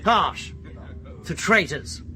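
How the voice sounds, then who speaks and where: Harsh, high-pitched prison officer. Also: male voice, lively intonation, very slow, sharp tone, a little hiss or hum, full character voice. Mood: menacing, officious. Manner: barking, commanding, clipped. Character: harsh British prison officer, bullying, self-serving, officious. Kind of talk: period radio drama acting.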